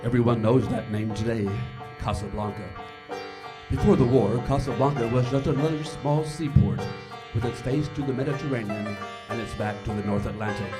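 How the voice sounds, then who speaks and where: A man's voice: medium pitch, normal speed, over music. A man announcing and narrating in 1940s radio style, formal and theatrical.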